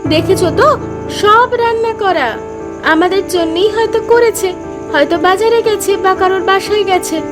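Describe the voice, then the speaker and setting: A woman's voice: very high-pitched, normal speed. A woman voicing a greedy, scheming young wife who pushes her husband. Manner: coaxing, insistent. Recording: voice over music.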